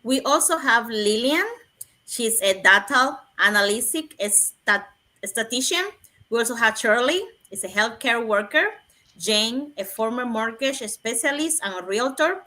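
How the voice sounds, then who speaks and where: Bright, higher-pitched woman panelist. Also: expressive, measured pace, low-quality recording. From Cuba. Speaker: panelist, nurse. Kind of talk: livestream panel intro.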